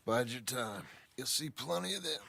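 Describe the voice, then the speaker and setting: A wounded outlaw in a western game. His voice is creaky and gravelly, with a bitter, self-mocking edge. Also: mid-range voice, animated, a little slow, pushed and bright, full character voice. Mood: weary, bitter. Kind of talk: video game cutscene acting.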